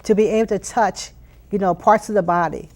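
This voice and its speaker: A woman's voice: medium pitch, a little fast, a little mellow. An American woman giving conversational interview answers as a program leader, earnest and emphatic, often repeating phrases for weight. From Philadelphia, United States. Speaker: interview guest, youth STEM program leader.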